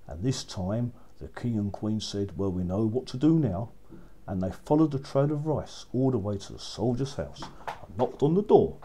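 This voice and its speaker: A man narrating a children's story as a storyteller, with expressive, dramatic delivery. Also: mid-range voice, slightly rough.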